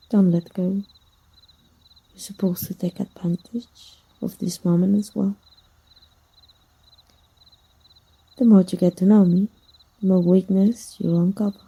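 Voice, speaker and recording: A woman playing a jealous, possessive female villain in an intimate ASMR roleplay, teasing in a husky, breathy murmur. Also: medium pitch, dark tone. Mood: flirty, teasing. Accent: Mexican.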